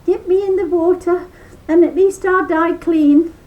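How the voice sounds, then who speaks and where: Storybook part: a shrill, cheeky trickster rabbit who hollers, read aloud by an older woman in a squeaky raised voice. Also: steady tone, a little slow, warm tone, dull audio. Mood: sly. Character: cheeky, hot-tempered male trickster rabbit.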